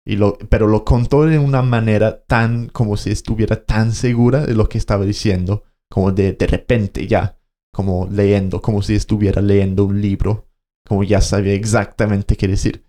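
A man's voice: fairly low pitch, steady tone, normal speed, warm tone. A man co-hosting a podcast, telling a story in casual conversation, hesitating and searching for words as he goes. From Colombia. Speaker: podcast co-host.

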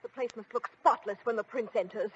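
A woman's voice: fairly quick, lightly acted. An actress playing a haughty, bossy stepmother who scolds and gushes in an old-time children's radio play. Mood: bossy, scolding. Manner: scolding, shrill, gushing. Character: haughty bossy stepmother, fawning before royalty. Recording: dull noisy old radio recording.

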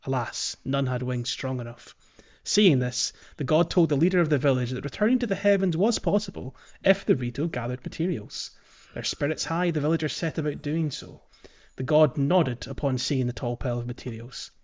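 A man's voice: medium pitch, normal speed. Man podcasting in slightly dull lo-fi sound. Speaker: podcast host. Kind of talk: casual game walkthrough chat, some reading aloud.

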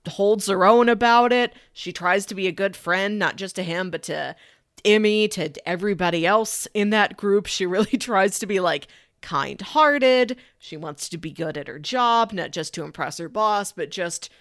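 A woman's voice: medium pitch, normal speed, fairly bright tone, no echo, clean studio sound. A woman in a casual podcast review chat, tossing in asides and imagined quotes. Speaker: podcast host reviewing anime.

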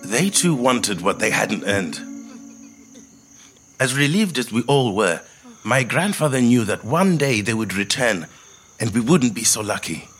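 An actor playing a quiet, thoughtful middle-aged man who tells his life story in a measured, reflective monologue. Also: mid-range voice, very animated. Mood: grave, measured. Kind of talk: scripted first-person monologue, audio drama storytelling.

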